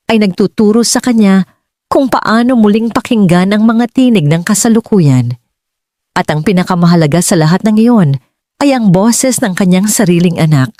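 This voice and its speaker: A woman's voice: medium pitch, mellow, dry recording, clean studio sound. A woman with a Filipino accent reading a scripted dramatic story as an audiobook narrator.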